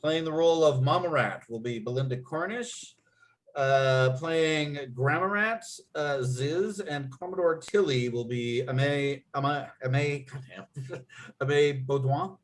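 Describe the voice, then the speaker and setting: A man's voice: a little high, unhurried. A man acting as narrator at a table read, reading out script and stage directions.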